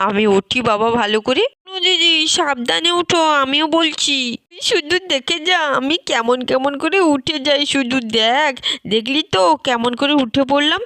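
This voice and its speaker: A woman voicing excitable, bossy village children eager to play in a doll-play story, exclaiming and bickering. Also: sits high, no room sound, clean studio sound. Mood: bossy, excited.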